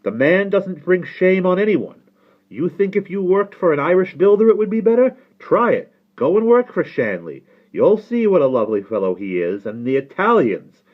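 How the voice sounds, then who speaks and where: A man's voice: high-pitched, sing-song, moderate pace, narrow, tinny audio, voice pushed up. A man voicing an exasperated, defensive middle-class father who argues insistently with pointed questions. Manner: argumentative, rhetorical questioning, insistent. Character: exasperated father, defensive, pleading with his nephew.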